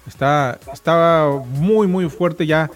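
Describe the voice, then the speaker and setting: Colloquial Mexican man, higher voice over music. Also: slow. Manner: colloquial, slangy. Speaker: talk show host. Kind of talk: casual radio chat.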